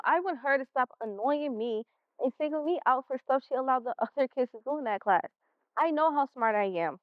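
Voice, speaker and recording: A woman's voice: high-pitched, narrow, tinny audio, lightly acted. A woman playing a defiant, exasperated sixteen-year-old American schoolgirl in a stage play, arguing in slangy, defensive speech.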